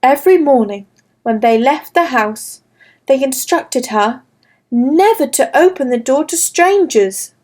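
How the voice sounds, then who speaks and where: A woman reading a fairy tale aloud for children, slow, clear and gentle. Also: sits high, animated. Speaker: storyteller. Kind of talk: scripted children's story narration.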